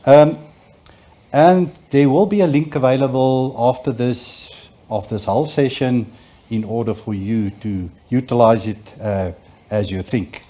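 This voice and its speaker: A man from South Africa gives the opening remarks as a conference facilitator. He speaks slowly in a warm, dark voice. His delivery is halting and full of filler sounds. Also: mid-range voice. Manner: hesitant, many filler sounds. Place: conference auditorium.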